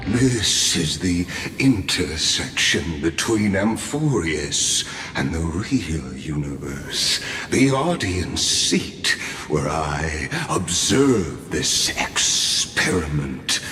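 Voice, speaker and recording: A suave male game villain speaks in a very low, unhurried voice that rings with long reverb over music. He is eloquent, calculating and grandly theatrical. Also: sing-song, bright, forceful tone, slight background noise, exaggerated voice. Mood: smug, musing. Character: suave, calculating male mastermind observing his grand experiment. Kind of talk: scripted video game voice acting.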